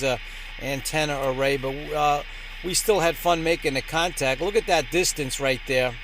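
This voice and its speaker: Higher-pitched man presenting an upbeat vlog. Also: fairly bright tone, slight background noise, poor audio. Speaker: host of a ham radio channel.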